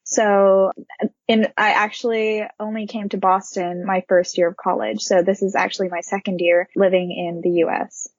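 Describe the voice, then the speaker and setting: A woman's voice: mid-range voice, little pitch movement, normal speed, no echo, dull audio. A young woman from Japan, a university student and intern, speaking earnestly and thoughtfully as an interview guest. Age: young adult. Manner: earnest, thoughtful, light fillers. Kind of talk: casual interview chat.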